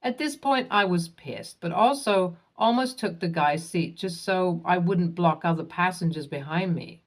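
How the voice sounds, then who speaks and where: A woman narrating online posts in a scripted, even reading that spells out acronyms letter by letter. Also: lowish voice, unhurried.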